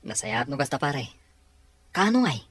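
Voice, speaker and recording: A man's voice: mid-range voice, very animated, normal speed, fairly bright tone, husky, no echo. A man playing an easygoing young friend of a groom in an audio drama. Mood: friendly. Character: easygoing male buddy of the groom. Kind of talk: Ilocano audio drama acting.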